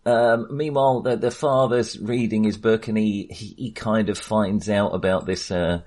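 A husky-voiced man co-hosting a casual film discussion in a hesitant, tentative manner. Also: fairly low pitch, steady tone, normal speed, darkish tone. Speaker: film podcast co-host.

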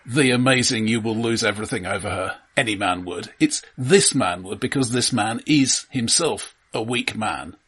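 Male co-host, slightly husky, measured and wry in conversation. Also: fairly low pitch, fairly bright tone. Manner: thoughtful, dry wry humour, frequent restarts. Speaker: podcast co-host. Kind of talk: casual film discussion.

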